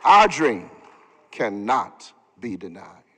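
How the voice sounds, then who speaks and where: A man's voice: medium pitch, very animated, takes it slowly, a bit bright, a little hiss or hum. Emphatic man preaching in a reverberant sanctuary. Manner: rhythmic, emphatic oratory with repeated phrases. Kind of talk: sermon. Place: large synagogue sanctuary. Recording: long hall reverb from a sanctuary.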